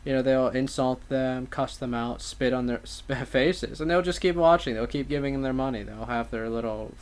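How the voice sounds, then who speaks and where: A man's voice: mid-range voice, normal speed. A man giving casual political commentary as a talk show host, with wordy, somewhat formal turns of phrase.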